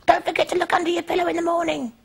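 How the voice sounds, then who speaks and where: A man voicing a tiny, polite female fairy in a small squeaky voice for a children's story. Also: little pitch movement, racing, gravelly. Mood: grateful, kindly. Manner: chiming, earnest.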